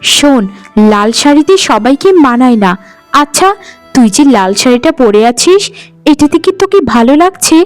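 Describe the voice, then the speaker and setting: A woman acts out a bitter elder co-wife from a cartoon. Her voice is fairly high, her manner jealous and accusing. Music sits faintly behind her. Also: lively intonation, moderate pace. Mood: jealous, insulting. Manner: cutting, accusing. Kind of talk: cartoon dialogue.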